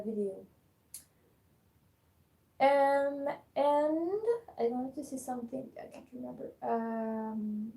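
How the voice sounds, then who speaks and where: French-accented woman, raised pitch, gravelly and mellow, chatting live on her phone in an echoey room. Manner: hesitant, many pauses and fillers. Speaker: musician live-streaming. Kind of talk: casual live-stream chat.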